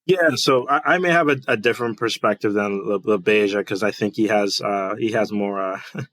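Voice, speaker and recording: A man from Trinidad and Tobago answering as a podcast guest, measured and thoughtful. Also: fairly low pitch, a bit bright, no echo. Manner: measured, thoughtful, with fillers and restarts. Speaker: guest, finance director. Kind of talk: interview answers.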